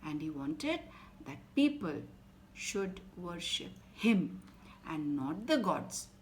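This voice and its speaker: Expressive Indian English woman telling a bedtime story. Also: on the low side, slow pace, slight background noise. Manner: expressive, dramatic storytelling with whispered asides. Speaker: children's storyteller.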